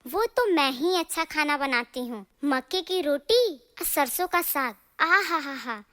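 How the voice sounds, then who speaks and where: A boastful young daughter-in-law from a cartoon family tale, voiced by a woman. She is extremely high and squeaky with a bright edge, always ready to bicker and talk herself up. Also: expressive, a little slow. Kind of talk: animated moral story dialogue.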